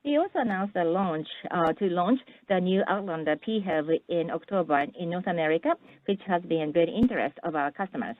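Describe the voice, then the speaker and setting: Corporate presenter, female, lowish pitch. Formal scripted read for an earnings call, heard through a thin telephone connection. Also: expressive, a little fast, hardly any pauses, no room sound. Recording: narrow, tinny phone-line sound.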